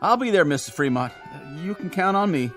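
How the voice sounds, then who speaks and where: A man's voice: sits high, animated, fairly quick, a bit bright, faint music behind. A man playing a frightened small-town grocery delivery man in an audio drama, stammering and nervously agreeing. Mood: uneasy.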